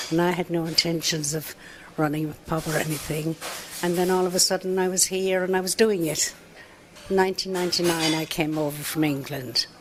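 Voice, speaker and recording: Irish woman, low register, relaxed raconteur who runs a pub. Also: slight background noise. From Ireland. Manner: chatty, many "you know" asides. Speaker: pub owner. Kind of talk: relaxed storytelling interview.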